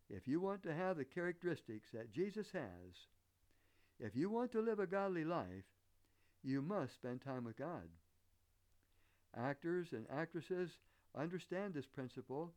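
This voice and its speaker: Animated Southern-accented American male preacher. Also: on the high side. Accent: American, picked up Southern. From northern United States. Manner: earnest, deliberate, rhetorical questions. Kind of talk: sermon with illustrative anecdotes.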